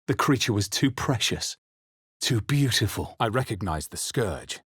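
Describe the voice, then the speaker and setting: A man playing a brooding adventurer who narrates a fantasy video game in the first person, solemn, deliberate and literary. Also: on the low side, very animated, breathy edge, no room sound, full character voice. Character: brooding male adventurer narrating his own dark memories. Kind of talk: dramatic scripted fantasy narration.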